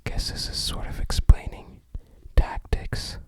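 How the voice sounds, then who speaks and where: An ASMR creator whispering unhurried, musing, slightly hesitant commentary while showing items to the viewer. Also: warm tone.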